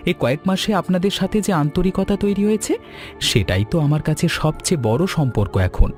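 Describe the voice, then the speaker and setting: A man voicing a kind, generous gentleman customer in a cartoon story, speaking earnestly and with feeling. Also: a little high, a little fast, faint music behind. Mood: reassuring. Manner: expressive, earnest, caring. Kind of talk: animated cartoon story dialogue.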